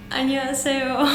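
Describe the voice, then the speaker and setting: A young Hungarian-speaking woman vlogs in a small tiled bathroom, her voice ringing off the walls. She rambles, restarts and giggles at herself, and a steady background hiss runs under her casual chat. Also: high voice. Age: young adult. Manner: giggly, self-deprecating, rambling with frequent laughs. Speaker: language-learning vlogger. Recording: echoey bathroom with noisy background.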